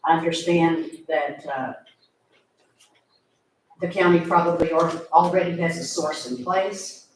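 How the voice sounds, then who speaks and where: A man addressing a county meeting during public comment, polite and measured, with filler pauses. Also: fairly high pitch, flat delivery. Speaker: resident speaking at public comment. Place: county commissioners court meeting room. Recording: echoey room from far off, faint noise.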